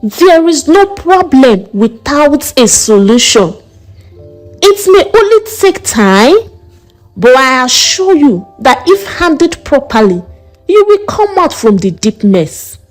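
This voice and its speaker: A warm mother from a folktale, put on by a woman narrator. She is reassuring and frank, coaxing in a high, very animated voice. She speaks slowly over faint music. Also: exaggerated voice. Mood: concerned, soothing. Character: warm, reassuring, direct middle-aged Nigerian mother.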